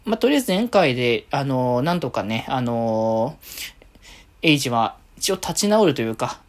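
Man with a very lively, swooping intonation. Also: medium pitch. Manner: halting, thinking aloud with drawn-out hesitations. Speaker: podcast host. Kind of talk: casual solo fan-podcast commentary.

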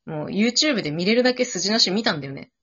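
A woman's voice: medium pitch, animated, fast, a bit bright, slightly rough. A woman chatting casually after an improv drama, switching between polite and informal speech. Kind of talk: casual post-show chat. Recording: dull lo-fi audio.

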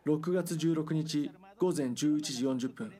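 A man reading scripted documentary drama narration in a measured, serious news-report manner. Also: fairly high pitch, warm tone.

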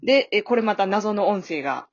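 A woman speaks over dull, lo-fi call audio in a husky, mid-pitched voice. She recounts things in a casual group chat, polite and slightly hesitant. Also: expressive, normal speed. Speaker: sound operator and regular panel member.

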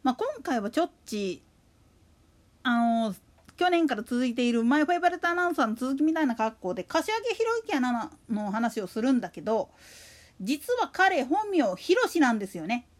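A woman's voice: high-pitched, big pitch swings, normal speed. A woman hosting a solo podcast, talking casually in chatty, slangy, colloquial speech. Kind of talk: casual monologue about a radio announcer.

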